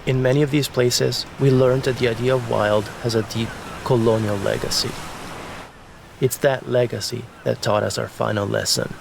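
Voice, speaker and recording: A man's voice: medium pitch, steady tone, normal speed, gravelly, a little hiss or hum, rough recording. A man reading scripted documentary narration as the film's narrator. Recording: music behind the voice. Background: background music.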